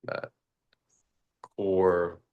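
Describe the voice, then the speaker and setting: Male meditation teacher, very low and level voice, unhurried halting delivery with long pauses. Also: phone-quality sound. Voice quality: very low, flat voice. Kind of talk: guided meditation.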